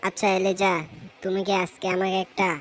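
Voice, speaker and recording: Office boss for amateur cartoon played by a woman: Bangladeshi accent, mid pitch, unhurried and coaxing, noisy audio. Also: lightly acted. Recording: hissy recording with steady ambient noise.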